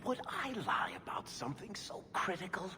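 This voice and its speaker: A man's voice: high-pitched, very animated, moderate pace, bright, forceful tone, a little hiss or hum, heavily acted. A man playing a sly, bawdy rogue in video game dialogue. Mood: mischievous. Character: roguish, bawdy man joking slyly.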